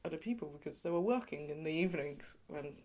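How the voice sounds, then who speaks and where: A woman's voice: low voice, animated, quick pace, darkish tone. Chatty woman interviewee from England. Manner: chatty, frequent "you know" and light laughs. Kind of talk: oral history interview.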